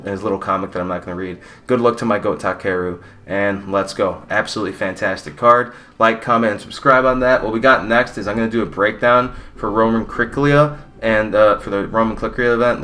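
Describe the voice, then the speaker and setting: A man's voice: lowish voice, bright, forceful tone. A young man from Mexico giving a blunt, rambling, opinionated fight recap with casual swearing. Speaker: combat sports YouTuber.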